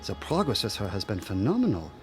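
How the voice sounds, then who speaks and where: An actor playing a worried, earnest scientist with a hesitant, formal manner in a radio drama. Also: male voice, lowish voice, wildly swooping pitch, quick pace. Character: earnest European scientist visitor, male, worried and apologetic. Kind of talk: vintage-style dramatic radio acting. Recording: music under the voice.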